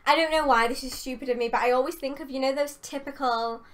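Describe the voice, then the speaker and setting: Excitable high-voiced woman. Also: fast talker, crowd noise. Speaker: guest. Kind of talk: casual chat.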